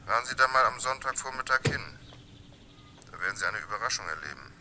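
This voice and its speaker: An actor playing a secretive, insinuating anonymous caller in a crime radio drama. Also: male voice, mid-range voice, lively intonation, fast talker, close to an everyday voice. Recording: noisy background.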